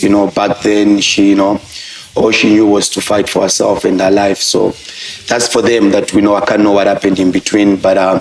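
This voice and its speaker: A man's voice: fairly low pitch, vocal fry. A man, a professional fighter, talking earnestly about his life as an interview guest. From Zambia. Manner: earnest, frequent "I would say" and "you know". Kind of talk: reflective interview talk. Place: distillery room. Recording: echoey room.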